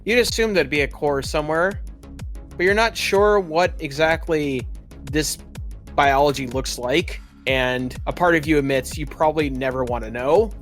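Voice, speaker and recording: A man with a slightly high voice runs a tabletop roleplaying game as its game master. His narration is descriptive, with dramatic pauses, over soft background music. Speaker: game master and podcast host.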